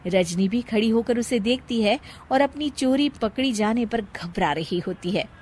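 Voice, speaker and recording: Female storyteller, high voice, lively intonation, scripted moral-tale narration. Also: a little slow, slight background noise.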